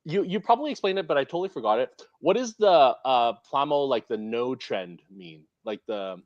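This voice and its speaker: A man from Canada with a Canadian accent, co-hosting a casual stream, enthusiastic and quick to laugh. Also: medium pitch, animated, no echo, dull audio. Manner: giggly, enthusiastic, fast bursts. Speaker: co-host. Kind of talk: casual live stream chat.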